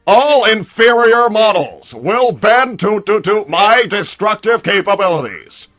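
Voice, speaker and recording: A man's voice: high-pitched, animated, a little slow, fairly bright tone, faint music behind. A man voicing an arrogant, menacing combat robot who debates in harsh, emphatic, repetitive declarations. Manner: imperious, emphatic, repeating words. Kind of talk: improvised in-character debate.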